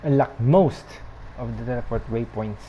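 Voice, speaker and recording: A man's voice: medium pitch, moderate pace, dark tone, lo-fi sound, slight background noise. A man giving casual, laid-back gameplay commentary in short, muttered reactions. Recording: game music behind the voice.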